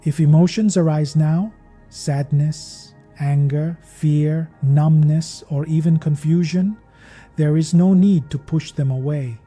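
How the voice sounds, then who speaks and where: A man narrating a guided meditation in a gentle, measured, soothing manner, as a podcast host leading listeners. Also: on the high side, steady tone. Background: meditation music.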